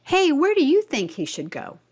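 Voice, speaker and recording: A woman's voice: high voice, huge pitch swings, normal speed. A woman reading a children's storybook and presenting it instructively, expressively asking young listeners questions. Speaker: read-aloud narrator and presenter.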